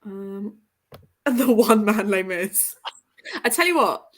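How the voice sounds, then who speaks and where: A woman's voice: medium pitch, slow. A woman hosting a casual book livestream, chatting and reading out comments in a jokey, self-deprecating way. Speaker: host of a book livestream with guests.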